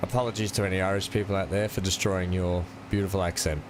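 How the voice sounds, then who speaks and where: A man's voice: sits low, fairly even intonation, fast, noisy background. A man with an Australian accent hosting a game stream, chatting casually and laughing often. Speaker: gaming channel host.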